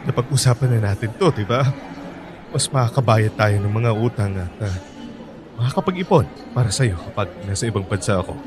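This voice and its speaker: A man's voice: fairly low pitch, expressive, brisk, natural voice. A man acting as a hardworking father in a radio drama, narrating his troubles and arguing with his wife, earnest and frustrated. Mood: tender. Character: hardworking father, weary and bitter.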